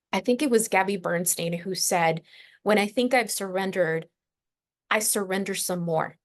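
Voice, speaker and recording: A woman hosting an astrology podcast, speaking unscripted in a reflective, emphatic way with deliberate pauses mid-thought. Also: mid-range voice. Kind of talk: unscripted spiritual astrology lesson.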